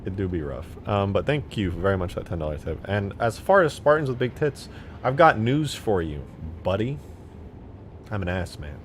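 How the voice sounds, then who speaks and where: Low-voiced male streamer, casual and rambling. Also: very animated, normal speed, a little hiss or hum. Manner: informal, rambling, jokey. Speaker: live streamer and game modder. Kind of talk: casual livestream chat, reading and answering viewer comments.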